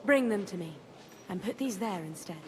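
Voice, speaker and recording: A woman's voice: mid-range voice, animated, normal speed, a little mellow, lightly acted. An actress playing a shrewd, wry widowed medieval noblewoman in a video game, composed and dry in delivery. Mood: firm, cautious. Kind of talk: video game dialogue acting.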